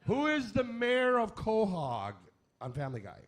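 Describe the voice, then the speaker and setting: A man's voice: high voice, wildly swooping pitch, normal speed, a bit bright. A man hosting a comedy radio quiz, reading out questions in an irreverent, jokey way with wisecracking asides. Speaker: quiz host of a comedy radio variety show. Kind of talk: comic game show hosting with joke asides.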